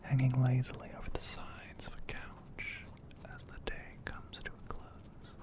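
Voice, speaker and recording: Guy whispering an asmr story up close, really slow, breathy and hushed. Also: medium pitch, monotone. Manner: slow, hushed, poetic delivery with frequent pauses. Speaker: ASMR audio narrator.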